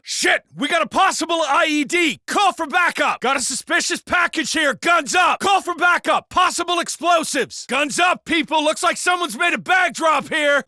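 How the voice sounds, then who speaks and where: Video game beat cop: American, gruff, sardonic, swears freely, lively pitch, sharp tone. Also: male voice, no echo, clean studio sound, exaggerated voice. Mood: alarmed shouting. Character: gruff, foul-mouthed male city beat cop. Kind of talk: scripted video game voice acting.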